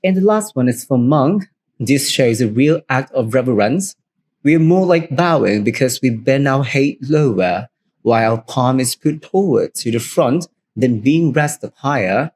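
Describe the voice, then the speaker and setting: A Thai-accented man co-hosts a podcast in a mid-pitched voice. He explains things plainly and step by step, in English as a second language. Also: expressive, measured pace, a little mellow. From Thailand. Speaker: podcast co-host. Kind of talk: explanatory cultural talk.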